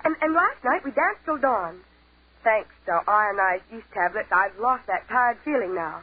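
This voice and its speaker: A woman's voice: medium pitch, expressive, measured pace, husky. An actress playing a young woman on the telephone in a 1940s radio commercial, plaintive in tone. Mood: cheerful. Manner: plaintive, then chirpy. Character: tearful young woman on the phone in an ad. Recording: tinny old radio broadcast with hiss.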